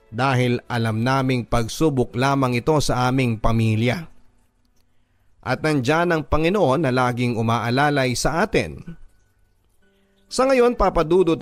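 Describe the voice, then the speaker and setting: A radio host reading listeners' letters aloud in an earnest, measured storytelling manner with emphatic pauses. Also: male voice, medium pitch, no room sound. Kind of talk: dramatic letter reading over music. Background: soft music bed.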